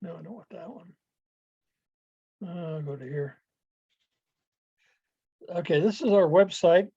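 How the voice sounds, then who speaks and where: A man giving an informal online presentation to club members, explaining things in a halting, rambling way over a video call. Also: sits high, husky, no echo. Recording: muffled lo-fi video call audio.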